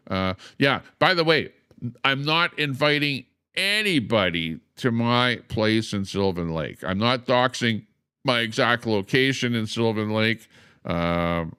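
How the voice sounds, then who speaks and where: Older man, slow, smooth voice. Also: on the low side, fairly bright tone, dry recording, clean studio sound. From Canada. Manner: explains slowly, repeating "okay?" and many "uh"s. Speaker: livestream host. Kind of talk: casual livestream monologue.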